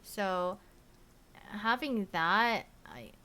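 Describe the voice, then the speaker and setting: A young woman born in Korea, chatting casually to stream viewers from her bedroom, rambling with lots of "like" and "you know" and trailing off softly. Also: mid-range voice, sing-song, drawn-out words. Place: bedroom at home.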